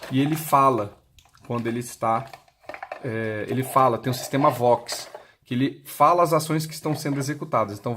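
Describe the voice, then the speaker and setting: A Brazilian man with a mid-pitched voice explains a gadget step by step, unscripted. His delivery is plain and instructional, repeating steps as he goes. The recording has clear noise behind him. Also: fairly bright tone. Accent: Brazilian Portuguese. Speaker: intercom seller giving a product demonstration. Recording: clearly noisy recording with clicks.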